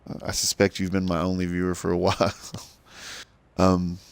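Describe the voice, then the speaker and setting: A middle-aged man with a rough smoker's voice, giving easygoing, rambling commentary to viewers while playing a farming game. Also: low-pitched, completely flat delivery, normal speed, dry recording. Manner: rambling, easygoing, self-deprecating. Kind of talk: casual gameplay commentary to viewers.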